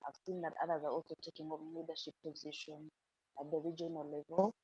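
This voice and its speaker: A woman with a Ugandan accent and a husky voice from a cold, speaking haltingly on a video call. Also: deep voice, little pitch movement, mellow, no room sound. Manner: halting, many fillers. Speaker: club member. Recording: dry, dull video call audio.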